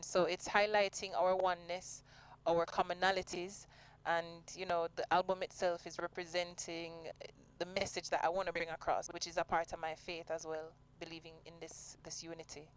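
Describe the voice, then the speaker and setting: A woman's voice: lowish voice, clean tone, poor audio. A woman with a Jamaican accent, talking earnestly and expansively as a singer being interviewed. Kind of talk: conversational radio interview.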